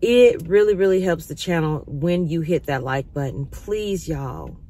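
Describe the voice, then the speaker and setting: A middle-aged woman vlogging from a parked car, her voice still slightly raspy as she recovers from illness, talking casually to her viewers and calling them y'all. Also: sits low, animated, unhurried, dark tone, a little hiss or hum. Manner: chatty, addresses viewers as y'all. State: recovering, occasional cough. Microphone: phone camera at arm's length.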